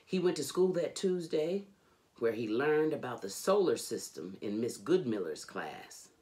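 Slow, lively woman reading a storybook. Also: very deep voice. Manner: deliberate, emphatic storytelling. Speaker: storybook reader. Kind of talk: expressive children's read-aloud. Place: indoors, furnished home room.

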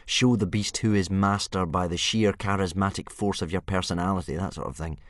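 A man's voice: low voice, steady tone, fairly quick, no echo, studio quality, natural voice. A man voicing a gloomy, put-upon young Viking boy who talks in a sulky, complaining way. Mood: cross.

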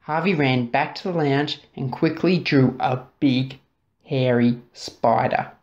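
A woman narrating a children's story expressively, dramatic and deliberate, drawing out key words. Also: extremely low pitch, a little mellow, dull audio. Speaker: storybook reader.

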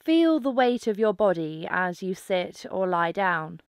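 Female meditation guide: clear, smooth tone, very measured pace, calm instructive delivery. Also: lowish voice, no echo, clean studio sound. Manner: calm, instructive, unhurried with pauses. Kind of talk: guided mindfulness meditation narration.

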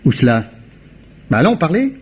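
A man's voice: on the high side, huge pitch swings, moderate pace, husky, full character voice. An actor playing a persistent man hunting for a missing woman in a vintage radio drama, with a probing, insistent manner. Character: persistent male amateur investigator, Lombard. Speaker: lead in a radio play. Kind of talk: 1950s radio drama acting. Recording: dull old radio recording.

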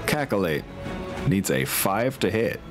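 Low deep guy game mastering on a stream, kinda wry n formal, music playing behind him. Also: very animated, takes it slowly. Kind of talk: narrating a tabletop war game on stream. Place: indoors at home, online group call.

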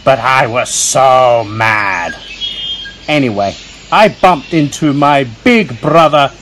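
A man voicing an excitable, talkative young squirrel who tells children a story in a theatrical, self-important way. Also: medium pitch, slow. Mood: indignant storytelling. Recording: faint noise with birdsong and crickets.

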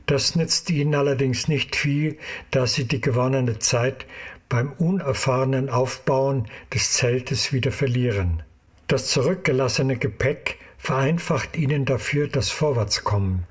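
A man narrates an audio drama as its storyteller. His voice sits at a medium pitch and has a husky edge. The delivery is scripted and narrative. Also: measured pace.

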